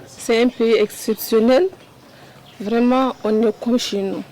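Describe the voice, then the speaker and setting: A woman giving short, slow interview answers. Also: fairly high pitch, steady tone, dark tone, low-quality recording.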